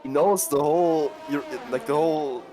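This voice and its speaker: A man's voice: fairly high pitch, music in the background. A man from northern Bavaria with a German accent, commentating casually on a sim race with hesitant fillers. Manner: hesitant fillers, quick to laugh.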